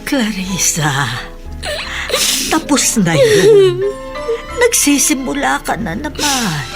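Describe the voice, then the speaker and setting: A motherly older woman of radio drama, speaking slowly with wide swings of pitch. She sounds sympathetic and concerned, over faint music. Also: wildly swooping pitch, full character voice. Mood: pained concern. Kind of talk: Filipino radio drama acting.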